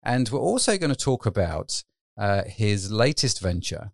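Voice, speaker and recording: A man's voice: on the low side, sing-song, unhurried, vocal fry, dry recording, studio quality. A man hosting a podcast interview, asking eager, upbeat questions. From United Kingdom. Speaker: podcast host.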